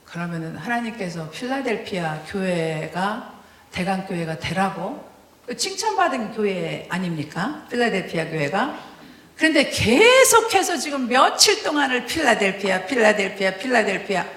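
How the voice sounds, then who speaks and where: A woman's voice: mid-range voice, moderate pace, echoey room. Woman with a Chungcheong accent preaching. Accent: Chungcheong Korean. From Korea. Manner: animated, laughing, many fillers. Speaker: guest preacher. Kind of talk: testimony sermon. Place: church sanctuary.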